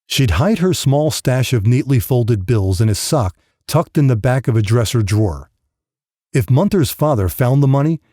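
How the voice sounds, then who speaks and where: A man's voice: medium pitch, warm tone, dry recording, clean studio sound. A man reading a grim novel as scripted audiobook narration.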